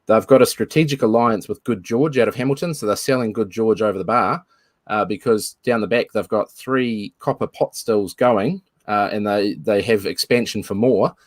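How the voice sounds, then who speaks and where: Kiwi male guest, lowish voice, dry close sound, thinks aloud. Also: normal speed, no echo. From New Zealand. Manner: thoughtful, restarts phrases. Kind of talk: casual chat.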